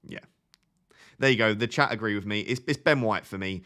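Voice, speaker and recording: A man's voice: on the low side, a little fast, pushed and bright. A young man in his twenties hosting a live stream, chatting casually and thinking aloud as he answers viewer questions. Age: 20s. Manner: thinks aloud in a chatty way, often restarting phrases.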